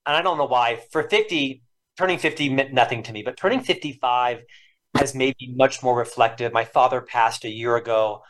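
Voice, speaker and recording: A man's voice: mid-range voice, brisk, a bit bright. A man in his fifties from Florida, a lifelong stutterer, telling personal stories in an earnest and emotional way as a podcast guest. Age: 50s. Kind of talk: reflective storytelling interview.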